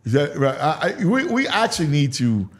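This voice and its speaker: A man's voice: a little high, very animated, moderate pace. An American man hosting a sports talk show in casual banter, repeating and restarting phrases for emphasis.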